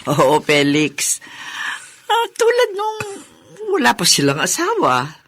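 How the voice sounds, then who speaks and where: A woman's voice: deep voice, wildly swooping pitch, slow pace, fairly bright tone, full character voice. A woman playing a loving, middle-aged mother in a Filipino radio drama, tender and earnest with her grown children. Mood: earnest, fond.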